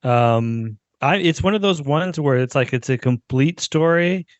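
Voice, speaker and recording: A man's voice: a little high, measured pace, no echo. Man, casual podcast chat, co-host, dry close sound, slightly dull recording.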